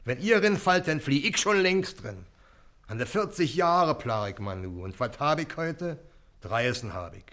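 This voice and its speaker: A man's voice: fairly high pitch, very animated, unhurried, fairly bright tone. An actor playing a wheedling, middle-aged river boatman and shady dealer in a radio play, speaking colloquial Berlin dialect. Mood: self-pitying. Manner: colloquial, grumbling, insistent bargaining.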